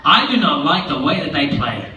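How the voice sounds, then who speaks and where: An earnest young boy speaks up plainly, as a man reads him aloud. His bright, high voice rings through a big echoey theatre heard from a distance. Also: big pitch swings, normal speed, background noise.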